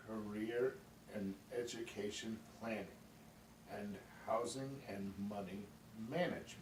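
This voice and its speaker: A man's voice: on the low side, fairly even intonation, measured pace, close to an everyday voice. A man playing a polite, unsure young wizard student in an amateur puppet show, giving brief, deferential answers. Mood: halting. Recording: echoey, distant mic with faint noise.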